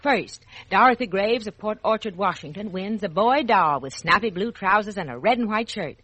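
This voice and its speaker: A woman announcing a 1940s children's radio show in a scripted, clearly phrased address to young listeners. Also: medium pitch, expressive, normal speed, over music, rough recording.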